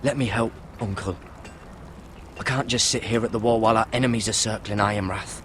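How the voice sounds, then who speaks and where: A man's voice: on the low side, fairly even intonation, fairly quick, fairly bright tone, a little hiss or hum, close to an everyday voice. An actor playing an earnest, dutiful young squire turned watchman in a fantasy game, with a questioning manner. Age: young adult. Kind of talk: dramatic fantasy game acting.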